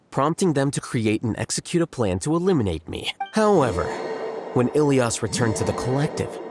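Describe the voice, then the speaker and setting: An actor playing a calm, coolly logical young scholar in a video game, with a dry, precise, unhurried delivery. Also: male voice, medium pitch, lightly acted. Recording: background music under dialogue.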